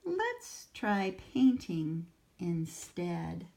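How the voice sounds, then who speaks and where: A woman's voice: lowish voice, sing-song, very slow, creaky, dark tone, exaggerated voice. Kindly storybook teacher, encouraging and sing-song, played by a woman. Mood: gentle. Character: cheerful, patient female schoolteacher. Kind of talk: storybook read-aloud.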